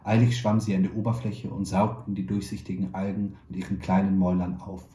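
A man reading a short story aloud in a calm, measured way, lightly voicing the dialogue. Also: deep voice, echoey room. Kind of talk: literary reading.

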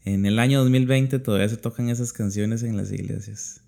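Low-voiced male interviewer, halting. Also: steady tone, gravelly, mellow. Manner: halting, repeats words while introducing the guest. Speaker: interviewer, host. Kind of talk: casual interview.

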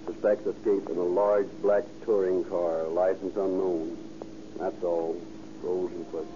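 A man's voice: sits low, level tone, measured pace. A man playing a police radio dispatcher, calling out alerts in a clipped, official, matter-of-fact way on 1930s radio. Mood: flat, official. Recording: noisy muffled 1930s radio recording.

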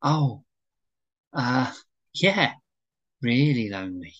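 Sheepish male suitor for a drama read-through, acted by a man, hesitant and pleading, very animated intonation. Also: medium pitch, slow pace, dull audio, heavily acted. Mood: wheedling. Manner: hesitant, wheedling, easily flustered. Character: hopeful, sheepish suitor pleading with his lover. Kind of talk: amateur script read-through, acted dialogue.

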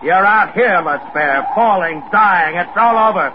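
A man's voice: high voice, animated, measured pace, noisy background. An actor in a 1950s radio drama playing a bitter, envious spaceman facing death, intense and insistent. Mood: desperate, taunting. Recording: dull 1950s radio recording with music.

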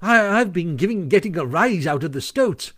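A man voicing an excitable, boastful little mole in a storybook, telling his tale with eager glee. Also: high voice, a little slow, pitched above the performer's own voice. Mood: gleeful, pleased. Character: chirpy, boastful, excitable small male mole.

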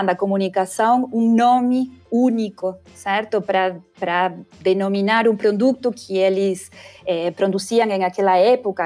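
A middle-aged woman with an Argentine accent, a Spanish speaker talking as a podcast guest, pausing often with fillers and restarts. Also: mid-range voice, a little mellow. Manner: hesitant, many fillers and restarts. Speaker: guest brand consultant. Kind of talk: podcast interview.

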